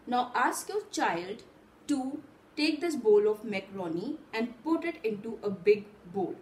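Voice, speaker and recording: A woman's voice: fairly high pitch, darkish tone, a little hiss or hum, rough recording. A woman in her thirties, host of her channel, explaining a simple recipe step by step from a table in a small room. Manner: patient, step-by-step instruction. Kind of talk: cooking demonstration for parents.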